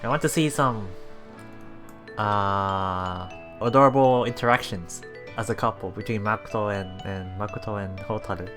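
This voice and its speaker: A let's play host casually commenting on a visual novel and reading its lines aloud in a halting, chuckling way. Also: deep voice. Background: visual novel background music.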